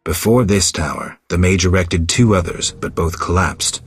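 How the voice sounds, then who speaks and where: An actor playing a gruff, stoic monster hunter in a video game, terse and deadpan, with a gravelly voice. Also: male voice, deep voice, normal speed. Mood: deadpan, guarded. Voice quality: gravelly, husky.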